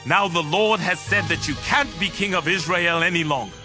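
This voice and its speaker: An actor playing a stern, indignant prophet in a dramatized scripture reading, declaiming with command. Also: male voice, high-pitched, normal speed, music in the background, close to an everyday voice. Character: stern, indignant male prophet rebuking a king.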